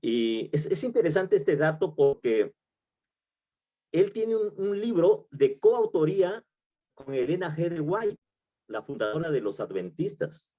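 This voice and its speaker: A man's voice: fairly high pitch, very animated, a little slow, mellow. A middle-aged man with a Peruvian Spanish accent, teaching a Bible study in a conversational way, with many fillers, restarts and tag questions. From Peru. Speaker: pastor.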